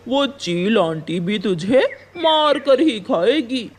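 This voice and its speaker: A woman's voice: fairly high pitch, very animated, slow pace, a little hiss or hum. A woman voicing a sly, greedy, scheming crow in a cartoon, with a wheedling, exclamatory manner. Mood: scheming, mocking. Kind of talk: Hindi cartoon villain dialogue.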